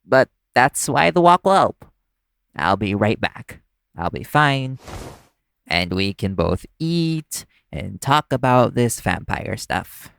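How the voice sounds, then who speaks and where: A man acting in an audio roleplay as a nervous, caring young vampire's human partner, speaking in a halting, stammering way. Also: mid-range voice, very animated, creaky, a bit bright, a little hiss or hum, full character voice. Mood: reassuring, nervous.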